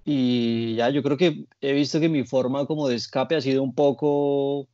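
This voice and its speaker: Mellow Colombian man, slightly muffled dry recording. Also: medium pitch, steady tone, moderate pace, dry recording. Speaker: interview guest, graphic designer and muralist. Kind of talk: casual conversational interview.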